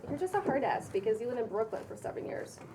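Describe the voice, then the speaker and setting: A woman's voice: a little high, big pitch swings, quick pace, creaky, far from the mic, very noisy. A woman at a table read playing an enthusiastic, breezy housemate who talks in a colloquial, reassuring way. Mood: bubbly. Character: bubbly, enthusiastic housemate with infectious laugh. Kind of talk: table read acting of a pilot script.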